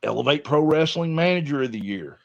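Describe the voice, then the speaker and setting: A man's voice: on the high side, lively intonation, a little fast, vocal fry. A man co-hosting a casual wrestling talk show, folksy and drawn out in his delivery. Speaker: podcast co-host. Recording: dull lo-fi sound.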